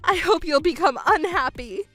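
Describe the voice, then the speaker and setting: A woman voicing a selfish middle-aged stepmother who whines, wails and accuses in a shrill voice. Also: expressive, moderate pace, a bit bright, faint music behind. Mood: shrill, accusing. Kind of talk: narrated drama dub.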